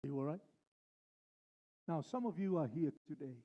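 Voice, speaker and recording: A male pastor preaching a sermon, driving his points home with slow, repeated phrases. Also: a little high, lively intonation, highs cut off.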